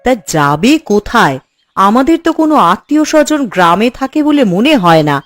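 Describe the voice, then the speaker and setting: A fretful cartoon housewife acted by a woman with a fairly high voice. She scolds and coaxes expressively, with music in the background. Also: brisk, dry recording. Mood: complaining, doubtful. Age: adult. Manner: exasperated, coaxing, expressive. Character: fretful, scolding housewife and doting mother of a boy. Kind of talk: cartoon voice acting.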